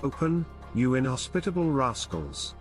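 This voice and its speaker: A man's voice: mid-range voice, sing-song, moderate pace, soft background music, close to an everyday voice. A man voicing an angry, defiant Canadian harpooner, a big blustering man, in an audiobook reading. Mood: outraged. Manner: indignant, defiant exclamations.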